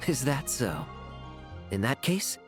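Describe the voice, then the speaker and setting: A man's voice: medium pitch, sing-song, slow pace, soft background music. A male actor voicing a suave, sly, amused knight in a video game, with a playful, teasing delivery. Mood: informative, teasing. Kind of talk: video game character dialogue.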